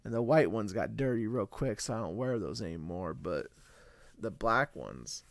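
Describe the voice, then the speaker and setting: A man's voice: fairly low pitch, animated, moderate pace, vocal fry, no echo. A man in his thirties chatting casually with a friend, slangy and laid-back, laughing often. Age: 30s. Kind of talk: casual chat.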